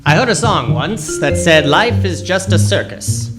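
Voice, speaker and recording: An actor playing a world-weary, wisecracking library detective in a deadpan noir radio drama style. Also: male voice, a little high, measured pace. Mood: wry, weary. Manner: wry, deadpan. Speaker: narrator and lead character. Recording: music playing behind the voice.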